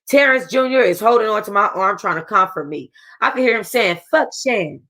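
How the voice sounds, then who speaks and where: A woman reading a first-person novel aloud for a book club, casual and expressive, with a streetwise tone. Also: medium pitch, brisk.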